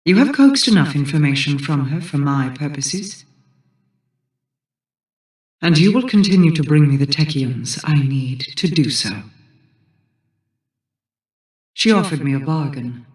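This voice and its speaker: A woman's voice: low voice, animated, moderate pace, a little mellow. An actress playing a regal, guarded fantasy queen in a video game, speaking in a formal, imperious manner. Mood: solemn, resolute. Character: regal, solemn, guarded Awoken queen, vengeful and commanding. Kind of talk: scripted video game dialogue. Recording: echoing, distant-sounding game voice.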